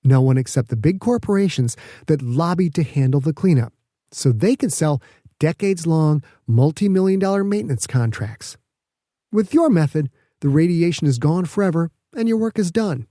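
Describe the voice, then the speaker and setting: Man as a condescending woman governor, mellow-toned. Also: a little high, expressive, no room sound, clean studio sound, voice pushed up, lightly acted. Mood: pointed. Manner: condescending, pointed, measured. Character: smug, calculating woman governor, a seasoned politician.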